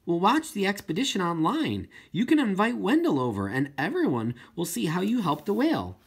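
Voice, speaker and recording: A man voicing a mother in an audiobook, gently soothing and reasoning with an upset child. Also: high voice, very animated, a little fast, vocal fry, slightly rough, voice pushed up, full character voice.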